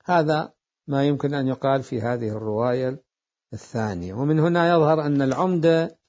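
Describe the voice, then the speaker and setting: Male lecturer, mid-pitched, methodical and repetitive delivery; muffled lo-fi audio. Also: darkish tone. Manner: deliberate, repeats phrases to explain. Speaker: seminary jurisprudence teacher. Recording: dull lo-fi lecture recording.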